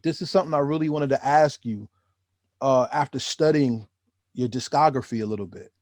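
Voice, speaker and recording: A man hosting an interview, enthusiastic and rambling, sometimes rushing into fast speech. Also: medium pitch, a little mellow, no echo, studio quality. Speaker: interview host. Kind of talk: casual interview.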